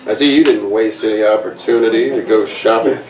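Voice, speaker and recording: A man's voice: on the low side, a little fast, a crowd in the background, natural voice. A man playing a serious, duty-bound space ranger leader in a dry, matter-of-fact way at a table read. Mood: dry, stern. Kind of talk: informal table read of a sci-fi script. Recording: echoey room, distant mic, clicking noise.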